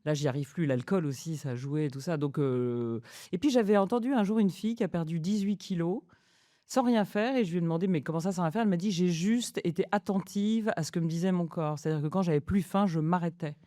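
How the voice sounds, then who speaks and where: A woman's voice: fairly low pitch, brisk, mellow, no echo. Chatty woman in her fifties, dry sound. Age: 50s. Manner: chatty, hesitant with many fillers, laughs easily. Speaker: food journalist, guest. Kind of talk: casual conversation.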